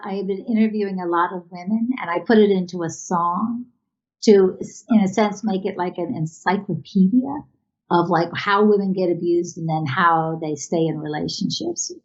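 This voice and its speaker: A woman giving reflective, halting interview answers as an artist, recorded over an internet video call. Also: mid-range voice, highs cut off.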